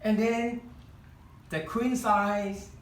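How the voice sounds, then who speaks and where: A man whose first language is Chinese, leading a live kokedama-making demonstration in a room and often pausing to search for words. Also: sits high, level tone, roomy sound, slight background noise. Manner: halting, searching for words, many restarts. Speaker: kokedama workshop founder demonstrating. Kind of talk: live hands-on craft demonstration. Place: room at a home demonstration event.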